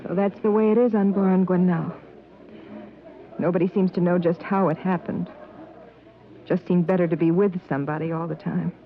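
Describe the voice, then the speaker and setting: A woman's voice: lowish voice, fairly even intonation, normal speed, long pauses, a little hiss or hum, close to an everyday voice. A woman playing a resigned, uneasy citizen of a conformist regime in an old-time radio drama. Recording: thin, phone-like old radio recording.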